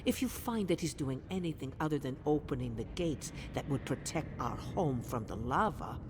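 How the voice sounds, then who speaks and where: An actress playing an anxious, devout leader of an endangered keep, earnest and formal, in a video game cutscene. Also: female voice, animated, moderate pace. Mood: grave, resigned. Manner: earnest, urgent, emotive, formal. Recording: clear rumbling ambient noise behind.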